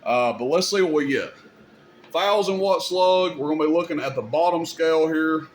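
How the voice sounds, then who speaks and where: A man with a slightly high voice talks viewers through a hands-on technical demonstration. He is informal and folksy, explaining things casually as he goes. Some background noise sits behind his voice. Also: moderate pace. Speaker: video host demonstrating CB radio gear.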